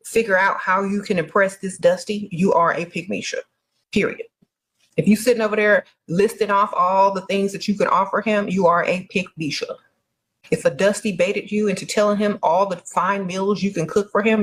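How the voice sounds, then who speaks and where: A woman's voice: medium pitch, a little hiss or hum. A woman giving blunt, emphatic advice, leaning on repeated catchphrases.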